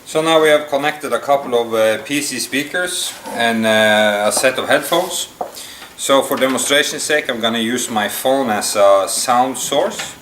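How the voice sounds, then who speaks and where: A man's voice: mid-range voice, a little slow, a bit bright. Man demonstrating in an echoey room. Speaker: product demonstrator. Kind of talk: unscripted product demonstration. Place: indoor room at a table. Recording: echoey room, distant mic, faint noise.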